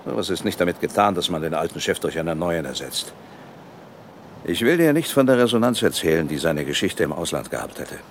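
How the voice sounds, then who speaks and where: An actor playing a ruthless, defiant older company boss in a German radio drama, arguing forcefully and with contempt. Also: male voice, low-pitched, very animated, fairly quick, slight background noise. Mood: defiant, cold. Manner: forceful, rhetorical, self-justifying.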